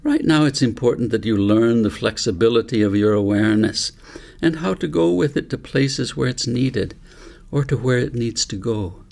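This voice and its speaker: A man voicing a wise, patient old grandfather in a storybook, explaining things in a measured, instructive way. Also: mid-range voice, lively intonation, vocal fry, darkish tone. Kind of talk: storybook reading.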